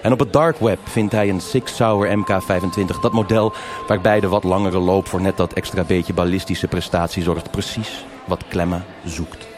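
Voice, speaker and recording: A man with a fairly low voice narrates a noir radio play. His delivery is measured and formal, like scripted storytelling, and music plays loudly behind him. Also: fairly even intonation. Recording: live stage radio play over loud music.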